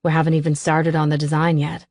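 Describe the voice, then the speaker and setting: A woman voicing a reserved young businessman who speaks in a measured, matter-of-fact way. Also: low voice, little pitch movement, a little mellow, no echo.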